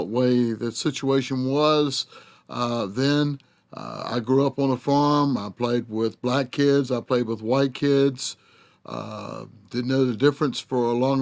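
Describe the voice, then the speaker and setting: An older man speaks as a show host. He talks in a halting, reflective way, filling gaps with drawn-out pauses. His voice sits at an ordinary middle pitch. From Southern United States. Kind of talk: reflective narration.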